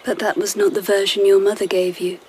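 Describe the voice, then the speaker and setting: A woman in a radio drama playing a composed, curious Empress, with a husky, breathy voice and a measured, gently probing manner. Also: medium pitch, level tone, a little hiss or hum, close to an everyday voice. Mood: cool curiosity.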